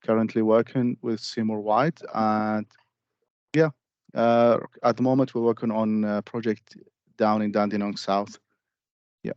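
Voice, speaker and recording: Flat, smooth-voiced man introducing himself. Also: fairly low pitch, a little slow, pauses often, no room sound. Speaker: student. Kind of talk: self-introduction. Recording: dry, dull video call audio.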